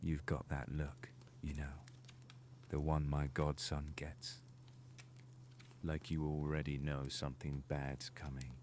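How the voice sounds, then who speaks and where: A man's voice: a bass voice, completely flat delivery, takes it slowly, a little mellow, a little hiss or hum. A man playing a wry, world-weary middle-aged godfather in a fan audio drama, fatherly with youngsters. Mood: somber, hushed.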